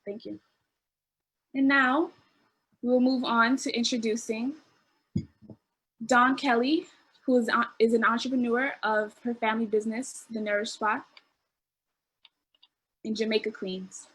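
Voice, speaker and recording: Slightly husky, higher-pitched woman host. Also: fairly even intonation, a little slow, long pauses, a bit bright, low-quality recording. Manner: earnest, stumbles over unfamiliar names. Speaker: event host reading out testimonials. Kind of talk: reading prepared remarks aloud.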